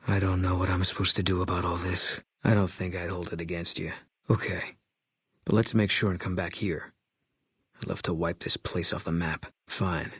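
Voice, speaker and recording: Husky, grim ex-military sniper. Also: male voice, flat delivery, moderate pace, a bit bright, no echo, studio quality, exaggerated voice. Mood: grim, guarded. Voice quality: low, husky. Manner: terse, stoic, fatalistic delivery. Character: grim, haunted, terse male ex-military sniper. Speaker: video game companion character. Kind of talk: video game dialogue.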